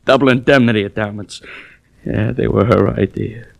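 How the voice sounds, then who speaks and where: A man's voice: on the low side, steady tone, moderate pace, gravelly. An American man acting a frightened, desperate young ex-GI husband in a 1940s radio drama, anguished and plain-spoken. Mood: desperate, bitter.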